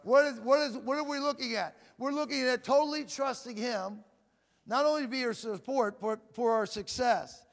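A male preacher giving a loud sermon, asking the crowd "Amen?" again and again, repeating and stumbling over words as he builds to shouting, heard in a church with long reverb and faint music behind. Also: very high-pitched, normal speed, creaky.

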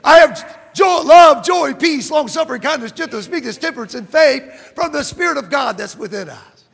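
High-voiced fervent preacher man, gravelly edge. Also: expressive, moderate pace. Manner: fervent preaching, rising to shouts, reads scripture aloud. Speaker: pastor. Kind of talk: sermon. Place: church sanctuary. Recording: long church hall reverb.

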